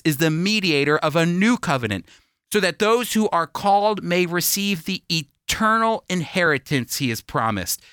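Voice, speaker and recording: A man teaching the Bible as a broadcast host, with emphatic, deliberate phrasing that rises and falls, close on a studio microphone. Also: on the high side, fairly bright tone, no room sound. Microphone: close studio microphone, dry.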